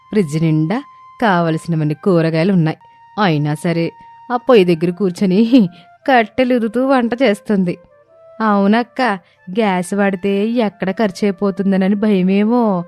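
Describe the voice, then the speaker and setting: A catty young sister-in-law, played by a woman, gossips disapprovingly in a storybook tale. The voice sits at medium pitch with soft music behind. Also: natural voice.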